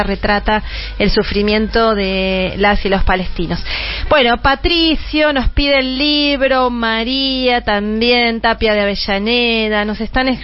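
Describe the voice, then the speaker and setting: Una mujer conductora de radio con acento argentino, que conversa y lee mensajes de oyentes. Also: female voice, medium pitch, highs cut off. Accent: Argentine Spanish. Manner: warm conversational, reading listener messages. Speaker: radio host. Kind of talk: radio talk show.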